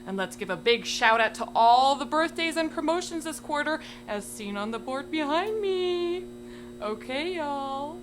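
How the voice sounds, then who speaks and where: A woman acts a self-satisfied corporate boss with a highish voice, giving a gushing pep talk. Clear noise sits behind her. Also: lively intonation, unhurried. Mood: peppy, jokey. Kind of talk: mock office speech in a stage play.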